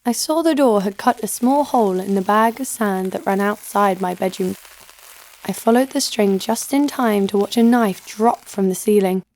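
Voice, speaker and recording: An actress playing a tough, sharp-tongued young woman fighter, narrating and speaking in an audio drama with a sardonic edge. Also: mid-range voice, normal speed, lightly acted. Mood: narrating, wry. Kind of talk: audio drama first-person narration and dialogue.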